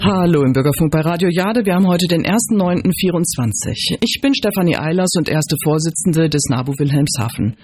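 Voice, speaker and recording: A woman's voice: sits low, lively intonation, a little fast, few pauses, a little mellow. A woman presenting a community radio programme from a script as its host. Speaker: radio host, environmental association chairwoman.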